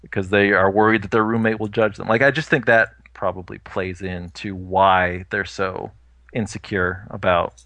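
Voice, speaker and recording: A man's voice: fairly low pitch, fairly even intonation, normal speed, pushed and bright, no room sound. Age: 30s. Sex: man. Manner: rambling, thoughtful. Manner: thoughtful, rambling, many "you know" fillers. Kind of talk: casual chat.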